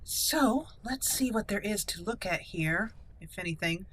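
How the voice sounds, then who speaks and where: A woman's voice: medium pitch, lively intonation, measured pace, fairly bright tone, a little hiss or hum. A woman hosting a let's-play video, commenting casually and talking to herself and the game characters as she plays. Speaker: gaming video host. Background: game music.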